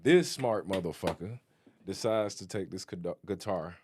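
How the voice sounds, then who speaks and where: Low-voiced man in his twenties, joking, profane, casual storyteller. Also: measured pace, darkish tone, slight background noise. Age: 20s. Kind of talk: casual podcast chat.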